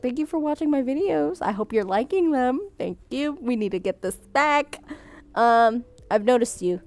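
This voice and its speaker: A woman's voice: fairly high pitch, lively intonation, moderate pace, a little mellow. A young woman chatting casually while reading viewer submissions, Vietnamese as her first language, laughing often and trailing off mid-sentence. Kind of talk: casual chat.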